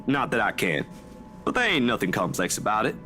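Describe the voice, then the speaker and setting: A man's voice: lowish voice, big pitch swings, a little fast, bright, forceful tone. An actor playing an easygoing, bashful young male friend in a visual novel game, talking in an informal, self-deprecating way. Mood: bashful, playful. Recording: music behind the voice. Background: music.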